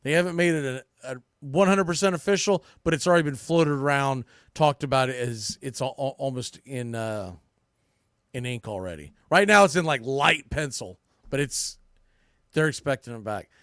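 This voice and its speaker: A man's voice: medium pitch, moderate pace, fairly bright tone, no room sound, clean studio sound. A man hosting a baseball podcast, giving off-the-cuff preview commentary and thinking aloud as he corrects himself.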